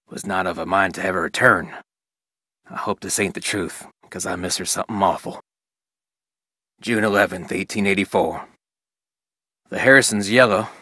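Low, rustic Old West rancher. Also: male voice, pushed and bright, dry recording, studio quality. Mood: regretful, sorrowful. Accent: rural American West. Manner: plain-spoken, rustic, folksy. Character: stern, grieving rancher father in 1880s Old West. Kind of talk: character reading of journal entries.

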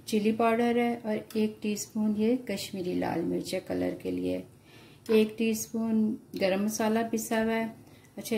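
A woman with an Urdu-influenced Hindustani accent calmly explains a home cooking recipe step by step to viewers. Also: medium pitch, gravelly, slight background noise. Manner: calm, explanatory, addresses viewers directly. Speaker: home cooking channel host. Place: home kitchen at the stove.

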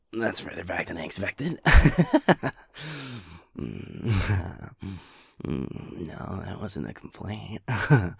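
A man playing a flirty, affectionate werewolf lover in an intimate audio roleplay, teasing in a breathy, gravelly murmur. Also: deep voice, fairly bright tone, no room sound, heavily acted. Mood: playful, flirty.

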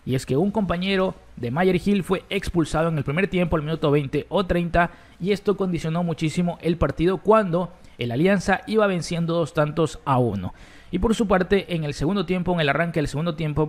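A man hosting a football news roundup, his voice sitting a bit high. He moves briskly from item to item and reads quotes aloud. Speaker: sports news presenter.

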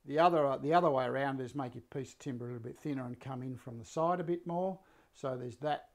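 A man in his sixties with a slightly croaky voice, casually explaining a woodworking build to a live audience from his workshop, full of fillers and restarts. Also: medium pitch, fast talker. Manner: relaxed, rambling, many fillers and restarts. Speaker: woodworking teacher and live-stream host. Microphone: fixed camera, mid-distance framing.

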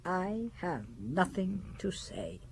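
A woman's voice: lowish voice, sing-song, slow, a little mellow, heavily acted. An actress playing a stubborn old servant woman over seventy in a radio drama, terse and resigned. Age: older. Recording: old radio broadcast with background noise.

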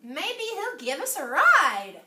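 A woman voicing excited, playful young children in a storybook, chirpy and eager. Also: extremely high pitch, slow, heavy background noise, full character voice. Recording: echoey room, far from the mic.

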